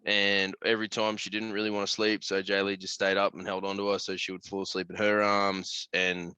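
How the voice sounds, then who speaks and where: Man, Australian accent, blokey podcast host, slangy and profane, lowish voice, very dry close sound. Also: monotone, brisk, bright, forceful tone, smooth, no echo, low-quality recording. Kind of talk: casual podcast chat.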